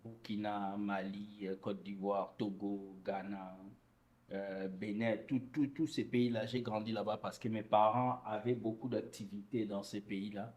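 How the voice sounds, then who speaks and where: Earnest low-voiced man telling his story. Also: a little slow. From Congo. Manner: earnest, relaxed storytelling with frequent restarts. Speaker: guest gospel singer. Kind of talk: casual interview answers.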